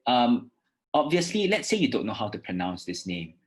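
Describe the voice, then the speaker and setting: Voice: medium pitch, very animated. Singaporean teacher, emphatic and precise. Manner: emphatic, deliberate enunciation of words and endings; instructive, often checking with "okay" and "right". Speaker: English oral exam teacher. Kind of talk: instructional lesson with read-aloud demonstrations.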